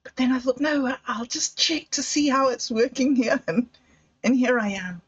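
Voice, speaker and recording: A woman who grew up in Zimbabwe, telling rambling personal stories on a livestream with frequent laughs and trailing pauses. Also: high-pitched, husky. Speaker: livestream host. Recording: dull lo-fi sound.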